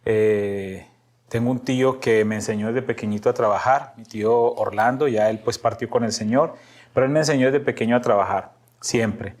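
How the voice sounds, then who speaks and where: A man's voice: fairly low pitch, moderate pace, darkish tone. A middle-aged Colombian man with a paisa accent, telling personal stories casually as a podcast guest and acting out bits of dialogue. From Medellín, Antioquia, Colombia.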